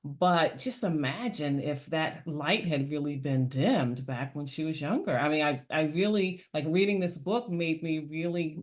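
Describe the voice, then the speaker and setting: A woman hosting a parenting podcast, reflecting aloud and sharing personal stories in a relaxed interview. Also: deep voice, a little slow, few pauses. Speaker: podcast host. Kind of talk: casual interview chat.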